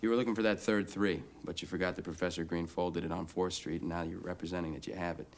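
An actor playing a polite, quietly confident young law student in a film, deferential but sure of himself. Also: male voice, sits low, flat delivery, fast talker. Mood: polite, assured. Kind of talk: naturalistic film acting.

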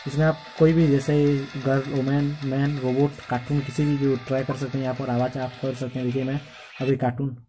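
Mellow-voiced man giving a phone tutorial. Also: medium pitch, level tone, a little fast, soft background music, poor audio. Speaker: tech tutorial host. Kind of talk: casual phone tutorial narration.

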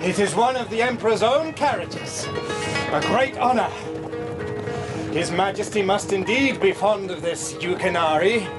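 A man's voice: sits high, unhurried, over loud music, poor audio. A man playing a gallant, glory-loving lord of old Japan in a radio drama, declaiming grandly. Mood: exultant.

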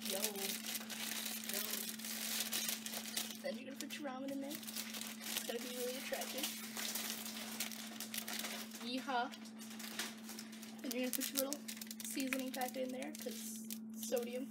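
A woman's voice: high voice, steady tone, a little slow, pauses often. A young adult woman chatting casually and playfully, with self-mocking asides, as she hosts a home cooking vlog. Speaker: vlog host. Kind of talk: casual rambling vlog chat while cooking. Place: home kitchen by the stove. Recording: distant mic, loud kitchen noise.

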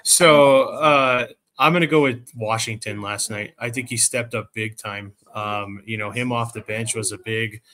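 Bright, even-toned man talking sports. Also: lowish voice, measured pace, few pauses. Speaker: sports podcast panelist. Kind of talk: casual sports analysis. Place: indoors at home.

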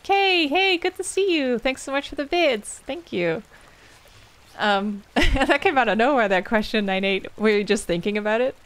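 A woman's voice: fairly high pitch, animated, moderate pace, a bit bright. A young adult woman, a game streamer wrapping up with casual, rambling chat as she thanks viewers by name and answers their questions. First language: Dutch.

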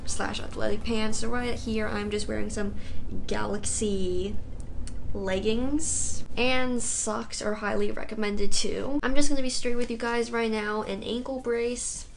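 A woman's voice: a little high, steady tone, measured pace, creaky, slight background noise. A teenage girl talking casually to her webcam at home, explaining a beginner workout. She is getting over a cold and tosses in lots of "you guys". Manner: chatty, says "you guys" often, light laughs. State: recovering from a cold. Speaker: figure skating vlogger. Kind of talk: casual tutorial talk to camera. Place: carpeted basement room at home.

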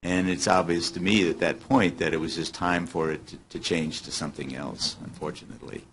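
An older man giving measured comments as a member of a panel. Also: deep voice, fairly even intonation, fairly bright tone. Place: indoor hotel conference room. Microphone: table microphone on stand. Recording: faint noise in meeting room.

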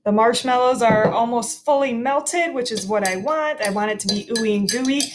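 Bright-voiced teacher lady walking kids thru a mug recipe, cheerful and clear, home kitchen, laptop mic. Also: a little high, normal speed, background noise. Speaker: teacher hosting a kids' cooking video. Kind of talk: step-by-step cooking demo for children.

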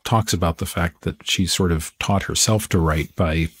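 Deep voiced guy hosting a book podcast, kinda husky, chatting. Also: normal speed, dry recording. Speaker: podcast host interviewer. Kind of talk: conversational literary interview.

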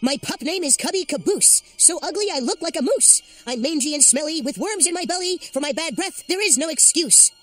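A woman voicing a jumpy, wisecracking school-age boy eager to belong, with a dramatic, sarcastic manner, in an animated series. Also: high-pitched, brisk, hardly any pauses. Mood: reluctant recital.

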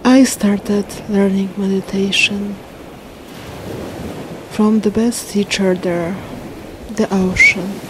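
A woman guiding a meditation in a soothing, unhurried way, speaking in short phrases with long pauses. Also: mid-range voice. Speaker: meditation guide. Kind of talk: slow guided meditation narration. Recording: steady wash of ocean waves and wind noise. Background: ocean waves.

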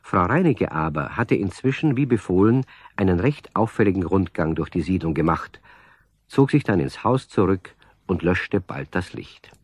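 A man's voice: low voice, animated, moderate pace, slightly rough, dry recording, studio quality. A man narrating a scripted children's story as a storyteller addressing young listeners. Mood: storytelling.

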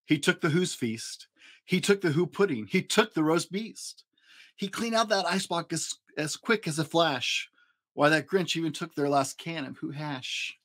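A man in his forties giving an expressive, rhyming storybook narration for children. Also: fairly high pitch, normal speed. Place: small home room, indoors.

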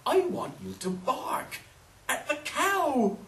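A woman's voice: sits high, sing-song, slow, voice pushed up, full character voice. An insistent old woman from a storybook as a woman storyteller plays her calls out commands and pleas in a raised voice. Her intonation swoops widely, and the room echoes around her. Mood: exasperated. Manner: loud, commanding, repetitive pleading. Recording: roomy, distant mic, faint noise.

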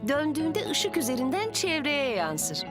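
Bright-spirited, high-voiced cartoon builder. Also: female voice, moderate pace, over music. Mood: explaining. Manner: explanatory, expressive. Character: friendly, knowledgeable female builder, calm and practical. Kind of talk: animated children's cartoon dub.

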